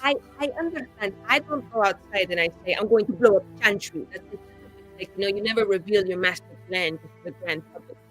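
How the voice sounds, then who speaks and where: A woman's voice: medium pitch, fairly quick, fairly bright tone, faint music behind, lightly acted, rough recording. A woman playing a brash, grandiose young female mage revolutionary in a tabletop game, theatrical and slangy. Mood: secretive. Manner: theatrical, self-important, casual slangy phrasing.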